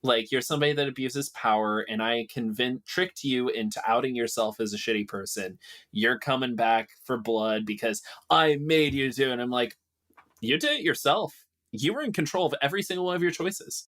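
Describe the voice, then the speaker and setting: A man with a mid-pitched voice chats casually as he brainstorms. He thinks aloud, feeling his way through ideas with a loose, halting delivery. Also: a bit bright.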